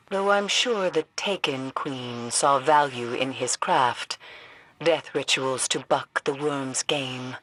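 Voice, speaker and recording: Female game role: scornful, cryptic mystic guide, forceful and unhurried, formal diction. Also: low voice, no echo, exaggerated voice. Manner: formal, archaic, ominous phrasing. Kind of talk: scripted video game voice acting.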